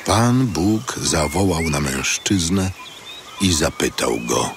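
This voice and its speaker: A man's voice: extremely low pitch, sing-song, slow pace, gravelly, background noise. A man narrating scripture in a solemn, scripted style.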